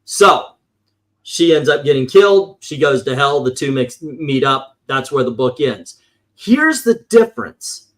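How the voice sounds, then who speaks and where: A man's voice: mid-range voice, big pitch swings, a little slow, a bit bright, rough recording. A man reviewing comic books in casual, opinionated panel chat, rambling and swearing, acting out his exasperated reactions.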